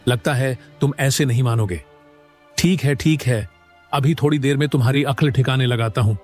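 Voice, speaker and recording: A man's voice: medium pitch, moderate pace, a little mellow, faint music behind, lightly acted. Man acting a bossy, threatening market bully. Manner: curt, domineering. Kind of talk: Hindi cartoon story dialogue.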